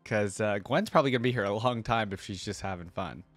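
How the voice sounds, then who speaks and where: A man's voice: fairly low pitch, fast, fairly bright tone, no room sound. A man hosting a reaction video, giving casual, appreciative commentary and musing aloud.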